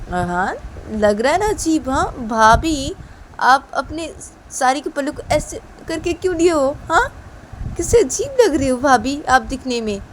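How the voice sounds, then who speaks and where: A woman voicing an easygoing young man who gently teases. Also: high-pitched, big pitch swings, very slow, few pauses, slight background noise, voice pushed up, full character voice. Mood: teasing.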